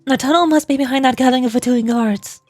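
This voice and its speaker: Video game scout, young woman: high voice, focused, businesslike, faint music. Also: quick pace, dry recording. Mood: focused, planning.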